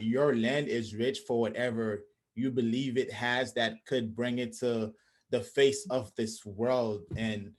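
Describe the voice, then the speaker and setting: Young man talking reflectively on a call. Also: mid-range voice. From Haiti. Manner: reflective, many restarts and fillers. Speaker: host. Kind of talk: casual conversation on a video call. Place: small indoor room. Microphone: video call webcam.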